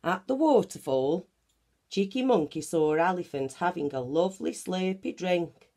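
A woman reading a children's picture book aloud in an animated, deliberate manner. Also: lowish voice. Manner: animated, deliberate, acts out sound effects. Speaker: children's story reader. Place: small bedroom at home, indoors.

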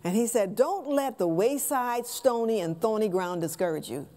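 An American woman in her sixties teaching scripture from a pulpit in a halting, conversational way with rhetorical questions. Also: fairly high pitch, lively intonation, warm tone. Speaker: Bible class teacher, preacher. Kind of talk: teaching sermon, explaining scripture. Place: church sanctuary stage, at a pulpit.

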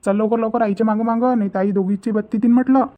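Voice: mid-range voice, fairly even intonation, fast talker, lightly acted. A performer voicing a cheeky, grumbling young village lad in a comedy cartoon, with a Vidarbha Marathi accent and a habit of repeating himself. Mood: cheeky, smitten. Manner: muttering complaints, repeating himself. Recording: muffled, phone-like lo-fi sound.

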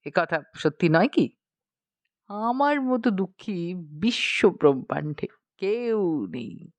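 A woman's voice: fairly low pitch, big pitch swings, slow pace, dry recording, voice pushed down, exaggerated voice. A woman voicing a self-pitying, gluttonous circus man in an audiobook story, plaintive, melodramatic and coaxing. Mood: melodramatic, sorrowful.

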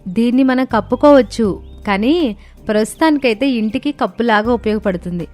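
A woman voicing a resourceful young daughter-in-law in a cartoon story, explaining things calmly and patiently. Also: on the high side, normal speed, faint music behind, natural voice.

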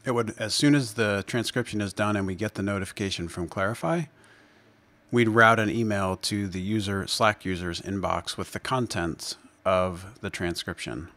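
A man presenting a software demo in an unscripted screencast, explaining step by step in a measured way. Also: lowish voice, fairly even intonation, dry recording. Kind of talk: screencast tutorial narration, unscripted.

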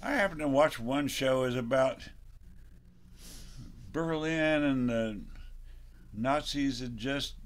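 Laid-back older man talking slowly. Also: mid-range voice. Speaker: open mic host and amateur songwriter. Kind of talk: casual chat on a video call. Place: home living room, indoors. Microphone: computer microphone on a video call.

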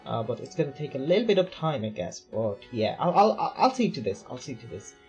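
A man with an ordinary mid-range voice, hoarse and worn out, talks his way through a strategy game. He rambles loosely, stacks up fillers and asks himself questions before answering them. Faint music plays under his voice. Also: mid-range voice, big pitch swings, brisk. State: voice going hoarse. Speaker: let's play gamer. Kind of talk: casual game commentary.

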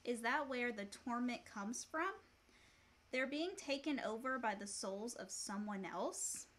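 A woman's voice: fairly high pitch, lively intonation, unhurried, fairly bright tone. A woman in her thirties co-hosting a casual chat, speaking in short, simple responses. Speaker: co-host. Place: small indoor room.

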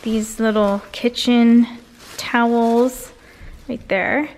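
A woman's voice: fairly high pitch, fairly even intonation, extremely slow, a little mellow, a little hiss or hum, rough recording. A young woman chatting casually to a handheld camera in a lifestyle vlog, upbeat and full of fillers, filming around her home and out on the street. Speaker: lifestyle vlogger. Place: home and city street, indoors and out. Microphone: handheld camera at arm's length.

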